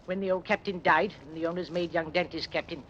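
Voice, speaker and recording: An actor playing a gossipy, bitter tailor who tells tales eagerly in a 1930s radio drama. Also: male voice, fairly high pitch, fairly even intonation, a little fast, fairly bright tone, lo-fi sound, slight background noise, natural voice. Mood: confiding.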